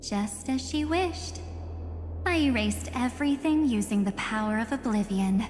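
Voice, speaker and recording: A woman voicing a composed, enigmatic guardian in a video game, speaking in a measured, cryptic, formal way. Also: on the high side, darkish tone, natural voice. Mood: solemn, resolute. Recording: long reverb, music behind.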